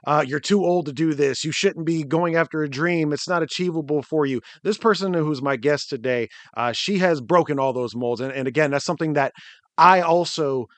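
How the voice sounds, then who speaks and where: A man's voice: a little high, moderate pace, a bit bright, no echo. A man in his late thirties hosting a podcast, introducing a guest in an earnest, rambling way, often repeating words. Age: 30s. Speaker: podcast host. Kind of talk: casual podcast intro and chat.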